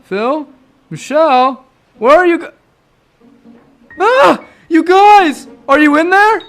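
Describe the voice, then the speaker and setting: A woman playing an oblivious, excitable comic rescuer in an audio drama, calling out melodramatically. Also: extremely high pitch, slow, soft background music, exaggerated voice. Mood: startled, searching.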